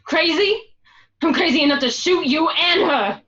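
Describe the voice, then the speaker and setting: A woman's voice: extremely high pitch, animated, normal speed, fairly bright tone, muffled recording. A woman playing a suspicious, wounded gangster's wife in a radio drama, emphatic and confrontational. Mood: furious. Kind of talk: amateur radio drama acting.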